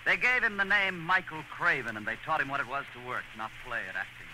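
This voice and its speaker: A man's voice: a little high, fast. A man giving earnest, theatrical scripted narration in a 1940s radio style. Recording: noisy old radio broadcast.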